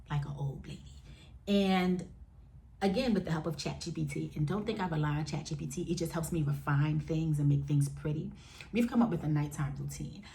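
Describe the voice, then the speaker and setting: A woman in her forties chatting frankly to camera at home, addressing viewers as y'all and jumping up in pitch now and then. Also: slight background noise. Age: 40s. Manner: lively, with sudden jumps up in pitch. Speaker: vlogger. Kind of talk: candid casual chat to camera.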